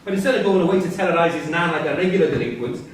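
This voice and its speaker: A man's voice: on the high side, very fast, slight background noise, lightly acted. An actor in a staged reading playing a young male prisoner who needles and argues in a taunting, probing way. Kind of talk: live staged play reading. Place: theatre-pub performance space, recorded from a distance. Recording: very echoey stage recording from far off.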